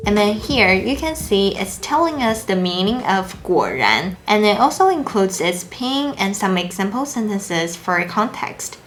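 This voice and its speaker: A young woman, a native Chinese speaker, hosting a language lesson video and explaining clearly in an upbeat, easily impressed way. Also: medium pitch, sing-song, a little slow, hardly any pauses, soft background music. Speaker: language teacher and channel host.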